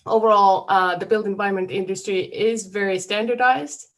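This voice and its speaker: Casting: Finnish woman moderating, halting English, frequent "uh". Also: medium pitch, steady tone. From Finland. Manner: hesitant, many "uh" pauses.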